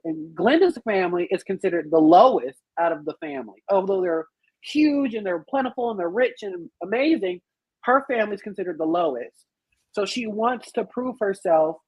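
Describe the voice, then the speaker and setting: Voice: medium pitch, husky. An emphatic speaker on a casual podcast panel, telling stories expressively and swearing freely. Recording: roomy, slightly muffled sound.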